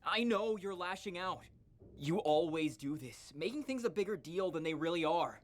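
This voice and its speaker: A man's voice: high-pitched, lively intonation, moderate pace. A man playing an earnest, defensive, relentlessly upbeat boy in a visual novel, easily wounded.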